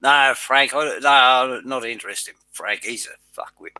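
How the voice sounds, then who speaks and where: A blunt man with a bright, forceful voice, mocking and swearing as he chats. The sound is dry and close. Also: mid-range voice, moderate pace, no echo. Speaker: channel host. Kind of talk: casual chat on a live show.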